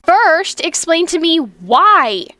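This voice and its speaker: Lady doing teen schoolgirl, high voice, dry recording, earnest n feisty, anime style dub. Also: very animated, slow, sharp tone, no room sound, exaggerated voice. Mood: defiant. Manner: earnest, emotive. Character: spirited teenage schoolgirl, eager and defiant. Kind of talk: earnest fandub acting.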